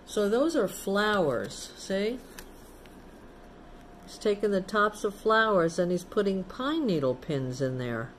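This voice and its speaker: Lady teacher doing story time for little kids, real slow, chatty asides and questions, bit of background noise. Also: mid-range voice, animated. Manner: simple explaining, often asking the young listeners questions. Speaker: teacher reading a picture book to children. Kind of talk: storybook read-aloud with chatty asides to children.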